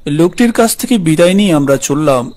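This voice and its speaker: A man's voice: a little high, expressive, brisk, darkish tone. A man narrating a scripted horror story in the first person.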